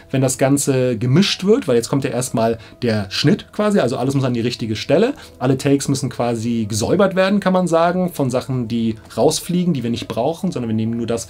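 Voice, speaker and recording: A man's voice: medium pitch, darkish tone. A man in his thirties explaining casually to camera as an audiobook director, with an enthusiastic, slangy manner. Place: small indoor studio control room. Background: background music.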